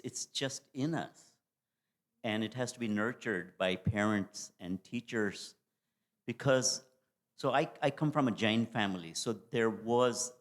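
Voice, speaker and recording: Reflective man with a low voice. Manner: reflective, with frequent restarts. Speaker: audience member. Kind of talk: personal reflection at a public forum.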